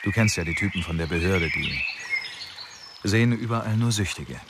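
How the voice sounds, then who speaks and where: A man playing a middle-aged police boss in a radio crime play, halting and apologetic yet firm. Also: sits low, animated, very noisy. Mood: uneasy.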